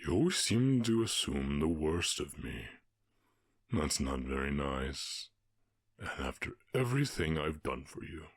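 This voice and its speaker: A man voicing a calm, commanding orc warchief in an ASMR roleplay, with a husky voice and a measured, condescending, teasing manner. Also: no echo, studio quality, heavily acted. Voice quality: very deep, husky.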